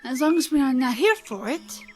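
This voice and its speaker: An earnest young female companion played by a woman in an audio drama. Her voice is extremely high and swoops expressively, emotive and principled, with faint music behind. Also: expressive, normal speed. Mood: matter-of-fact. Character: young female travelling companion, earnest, moral, easily alarmed.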